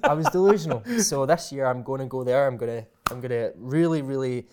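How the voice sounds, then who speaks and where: A man's voice: mid-range voice, brisk, a little mellow, slight background noise. Hesitant man, casual chat. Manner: hesitant, repeats words, restarts often. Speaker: guest, aspiring motivational speaker.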